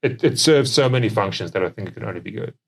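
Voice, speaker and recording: A man's voice: fairly low pitch, lively intonation, fast, gravelly, slightly rough. A young adult man with a South African accent, chatting casually as a company co-founder on a podcast. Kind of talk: casual conversational podcast chat.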